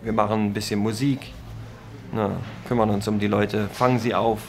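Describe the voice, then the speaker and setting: A man on the staff of an attraction, explaining the work calmly in an interview. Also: on the low side, normal speed. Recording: noisy ambience behind.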